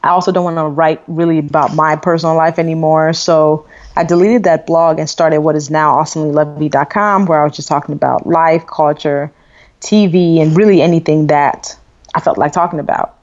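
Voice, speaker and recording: A woman in her thirties, born in Nigeria, speaks candidly and easily as an interview guest. She uses a lot of fillers, and her voice sits fairly low. The recording sounds dull and lo-fi. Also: fairly quick. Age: 30s. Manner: candid, relaxed, frequent fillers. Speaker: guest author and blogger. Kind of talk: interview conversation.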